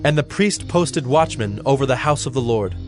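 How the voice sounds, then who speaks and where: A man's voice: medium pitch, lively intonation, normal speed. Solemn male scripture narrator over faint music.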